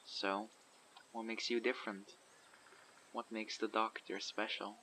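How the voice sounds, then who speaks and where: A man voicing a guarded survivor leader who recruits people for a community, asking measured, probing questions in an audio roleplay. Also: lowish voice, little pitch movement, pushed and bright, a little hiss or hum, low-quality recording. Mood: calm, probing. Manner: measured, probing, matter-of-fact. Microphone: USB condenser microphone.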